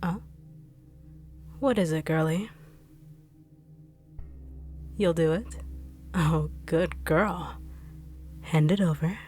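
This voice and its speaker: A treacherous bodyguard turned villain, mocking and cold, her lowish voice dropping to quiet threats, as a woman plays her in an audio drama. Faint music plays underneath. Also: very slow, long pauses. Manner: taunting, cold, sneering with quiet menace. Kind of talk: audio roleplay acting.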